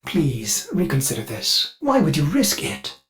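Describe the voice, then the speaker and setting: An anxious, devoted ship computer for a video game. The delivery is very animated, swinging up and down with theatrical formality. It sounds like a fussy, solicitous servant. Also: male voice, a little high, a little slow, soft background music, full character voice. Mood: anxious pleading. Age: older. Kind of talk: scripted video game voice acting.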